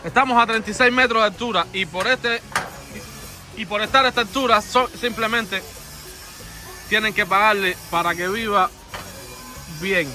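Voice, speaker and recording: High-voiced man interviewing coworkers outdoors. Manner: chatty, laughing, repeats words. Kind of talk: casual on-site interview. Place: outdoors on scaffolding high above a city street.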